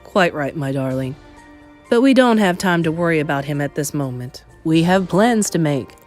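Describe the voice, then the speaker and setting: Woman doing a calm, low-voiced grandmother. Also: faint music behind. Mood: warm, brisk. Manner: low, measured and unflappable. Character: firm, calm elderly grandmother.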